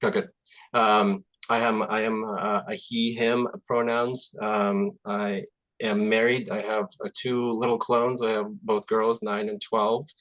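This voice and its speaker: A man in his forties whose first language is Russian, born in Azerbaijan, giving a relaxed, chatty talk as a guest software engineer over a video call, with many fillers and restarts. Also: lowish voice, measured pace, muffled recording. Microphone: computer mic on video call.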